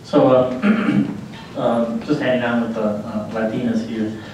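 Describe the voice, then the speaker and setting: A man's voice: mid-range voice, level tone, slow, a little mellow, slight background noise. A man on a panel who sometimes fills with "uh" and "um". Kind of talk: panel discussion. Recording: echoey room from far off.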